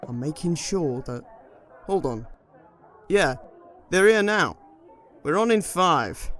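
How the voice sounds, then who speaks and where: An actor playing a brisk, businesslike male stage technician in an audio drama, curt and matter-of-fact. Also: fairly high pitch, expressive, a bit bright, a faint crowd. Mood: brisk, focused.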